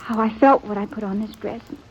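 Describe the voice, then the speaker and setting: A woman playing a sweet, humble, grateful young woman in a 1950s Western radio drama, soft and breathy, speaking hesitantly and wistfully. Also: mid-range voice, steady tone, quick pace, a little hiss or hum, natural voice. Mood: wistful, resigned. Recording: dull old radio recording.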